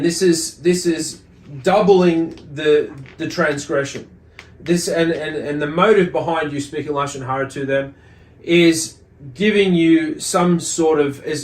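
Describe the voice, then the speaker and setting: A man giving an explanatory religious lesson as a teacher, speaking in a measured way with deliberate emphasis and repetition. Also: a little high. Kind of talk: explanatory religious lesson, reading and commenting on a text. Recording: echoey, roomy sound.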